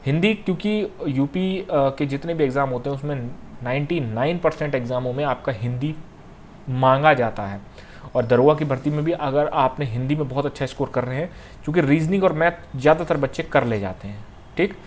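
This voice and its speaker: A man speaks at a medium pitch, advising students like an informal coaching teacher. He is instructive, restating key points and often tagging on a quick check that they follow. A faint layer of noise sits behind his voice. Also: a little mellow. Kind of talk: informal exam-prep advice to students.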